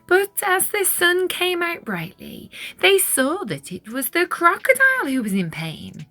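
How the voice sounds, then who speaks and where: A woman reading a children's picture book aloud in an expressive, deliberate storytelling style with dramatic stress on key words. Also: very high-pitched, soft background music.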